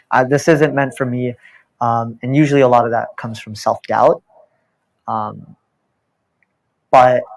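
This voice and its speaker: A man's voice: medium pitch, creaky, poor audio. A young man on a panel, a drag performer telling a personal story in a reflective, hesitant way. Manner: reflective, hesitant, many fillers and restarts.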